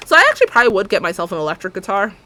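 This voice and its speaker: A young woman with a deep alto voice, chatting casually and rambling as she streams a building game, laughing often and talking to her viewers. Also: sing-song, rapid-fire, sharp tone.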